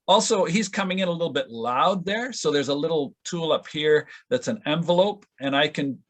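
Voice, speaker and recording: A man explaining audio editing step by step in an informal, halting way during an online session. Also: on the high side. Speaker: audio editor demonstrating at a conference session. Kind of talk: informal software tutorial. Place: online video call with screen sharing.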